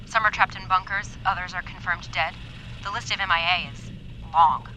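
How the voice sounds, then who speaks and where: Urgent, sharp-voiced woman researcher. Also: lowish voice, steady tone, moderate pace, a little hiss or hum, low-quality recording. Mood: somber. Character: Lucinda, anxious, insistent woman medical researcher in an apocalypse. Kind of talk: audio drama acting.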